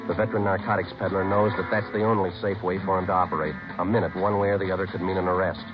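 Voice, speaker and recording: Man acting a deep voiced american cop, deadpan n clipped, old radio drama w music behind. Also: rapid-fire, close to an everyday voice. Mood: terse, urgent. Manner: clipped, deadpan, matter-of-fact. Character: terse male police sergeant working undercover on narcotics. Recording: muffled vintage radio recording with music.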